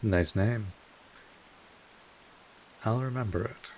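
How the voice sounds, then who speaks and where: A man playing a world-weary but kindly old vampire mentor in an audio roleplay, speaking informally and in measured phrases with wry asides. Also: lowish voice, slight background noise, rough recording. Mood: gently protective. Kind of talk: second-person audio roleplay monologue.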